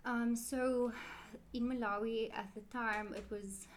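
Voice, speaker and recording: A woman's voice: on the high side, steady tone, takes it slowly, slight background noise. A woman, answering interview questions in a chatty, rambling way and correcting herself often. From South Africa. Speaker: interview guest, Quran teacher.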